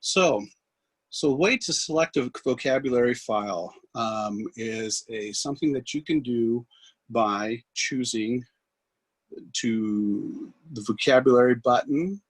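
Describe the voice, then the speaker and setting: Guy doing a software walkthrough, bit husky, halting explainer style, dry sound. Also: medium pitch, no echo. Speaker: software trainer. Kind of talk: instructional screen demonstration, loosely scripted.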